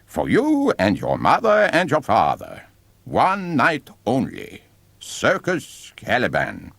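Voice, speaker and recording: A man playing a flamboyant, theatrical circus ringmaster, swooping pitch. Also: huge pitch swings, gravelly, fairly bright tone, no room sound, exaggerated voice. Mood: grand, inviting. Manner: theatrical, emphatic, drawn-out words.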